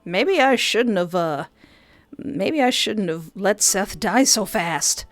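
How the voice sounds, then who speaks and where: Woman voicing flippant young magic-user, casual, evasive, mid pitch, fantasy audio drama, music underneath. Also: animated, slow, dry recording. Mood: sheepish.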